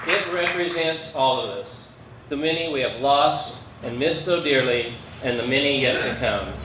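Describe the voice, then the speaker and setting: A man giving an earnest, measured prepared speech at an outdoor dedication. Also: mid-range voice, over a noisy crowd, heavy background noise. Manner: earnest, measured, reading from notes. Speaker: speaker at dedication. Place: outdoor street gathering. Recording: echoey from far off.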